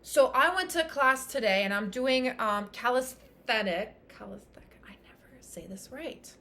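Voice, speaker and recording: A woman coach with a high, bright voice, her first language French, talking fast and emphatic to her livestream viewers. She hammers points home by repeating short lines, and the whole talk stays lively and encouraging. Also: slight background noise. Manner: energetic, emphatic, repeats phrases for punch. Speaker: fitness coach. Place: Tulum, Mexico.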